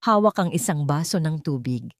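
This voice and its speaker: Woman, Filipino accent, lowish voice, dramatic audio-story narrator, dry recording. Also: lively intonation, normal speed, no room sound.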